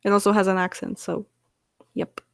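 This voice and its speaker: A Polish woman in her twenties chatting on a live stream, answering viewers' questions with frequent laughs and halting fillers. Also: medium pitch, level tone, gravelly, no echo, studio quality. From Poland. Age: 20s. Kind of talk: casual live stream chat.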